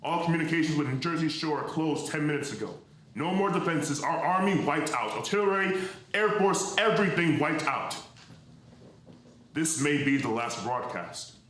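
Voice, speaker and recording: A man's voice: a little high, lively intonation, normal speed, fairly bright tone. A man playing a grave, increasingly desperate 1930s radio announcer in a live radio drama, with clipped, dramatic bulletin delivery. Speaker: actor. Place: live stage performance in a hall, music behind. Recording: very echoey live theatre recording.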